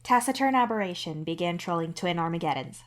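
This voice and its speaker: A woman's voice: on the low side, expressive, fairly quick, over music. A woman reading scripted fiction as a narrator.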